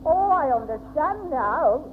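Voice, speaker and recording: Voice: high voice, expressive, slow. Confused old caretaker, hesitant and stubbornly literal, swooping delivery, noisy vintage radio comedy. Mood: muddled. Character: doddering, confused, testy old male newspaper caretaker. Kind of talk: 1960s British radio sitcom acting. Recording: noisy, tinny 1960s radio recording.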